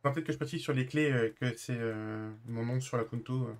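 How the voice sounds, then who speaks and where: A man's voice: mid-range voice, brisk. A man chatting casually in an online roleplay as a new employee, polite, agreeable and a little hesitant. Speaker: streamer playing a new garage employee. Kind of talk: casual in-character roleplay chat.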